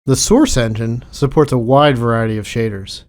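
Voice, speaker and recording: A man's voice: mid-range voice, moderate pace, a little mellow. A man delivering scripted technical commentary as a game developer.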